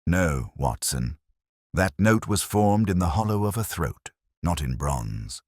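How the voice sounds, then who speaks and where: A man plays a coolly analytical detective in a mystery audiobook, with a very deep voice. He speaks gravely and precisely, with expressive rises and falls. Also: slow, dry recording, clean studio sound, exaggerated voice. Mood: hushed, intent. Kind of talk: audiobook character dialogue.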